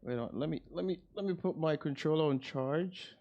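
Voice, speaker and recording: A man's voice: fairly high pitch, brisk, a little mellow, lo-fi sound, a little hiss or hum. A man giving casual live gameplay commentary as a streamer. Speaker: game streamer.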